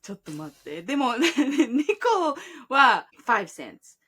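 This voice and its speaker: Brief: playful young woman, lively pitch, giggles while she thinks. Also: moderate pace, husky. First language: Japanese. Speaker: co-host. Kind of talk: casual bilingual chat.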